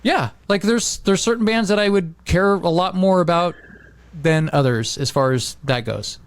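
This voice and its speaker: A man's voice: high-pitched, normal speed, clean tone. A middle-aged man chatting casually on a podcast in a rambling, blunt way, with some swearing. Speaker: podcast host. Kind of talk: casual chat.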